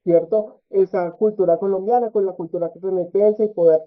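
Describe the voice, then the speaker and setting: A man giving an academic research presentation at a conference, halting often with filler sounds and tag questions. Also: high-pitched, fairly even intonation. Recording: tinny phone-like audio.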